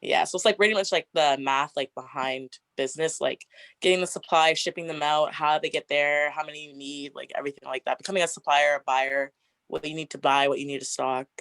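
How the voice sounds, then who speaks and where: Young woman, 20s, deep raspy voice, chatty guest, dry close sound. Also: fairly even intonation, brisk, fairly bright tone, no room sound. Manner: chatty, frequent "like" fillers, laughs often. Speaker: interview guest. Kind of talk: casual get-to-know-me interview.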